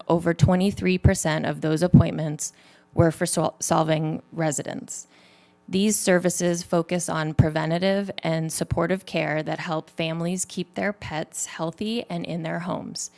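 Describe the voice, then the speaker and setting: A woman's voice: sits low, creaky. A woman from an animal shelter reading a prepared statement to a city council, with small slips and restarts. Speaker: humane society representative.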